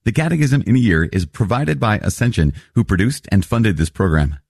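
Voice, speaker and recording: A man's voice: lowish voice, fairly quick, vocal fry, husky, no room sound, studio quality. A man, a priest hosting a daily teaching show, talking conversationally and rephrasing his thoughts aloud. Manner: animated, thinks aloud and rephrases mid-sentence. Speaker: priest and podcast host.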